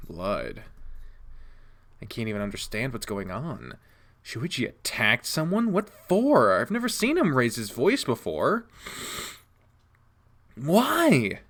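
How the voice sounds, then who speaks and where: A man reading a visual novel game aloud, casual, jumping to high squeaks when surprised. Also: wildly swooping pitch, slow, pauses often, a bit bright. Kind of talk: reading game text aloud with jokes aside.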